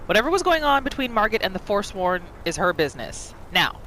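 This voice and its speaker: Bright, animated woman narrating a game. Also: lowish voice, slight background noise. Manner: expressive, storytelling. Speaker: gamer narrating a roleplay playthrough. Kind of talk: third-person roleplay game commentary. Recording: faint game music behind.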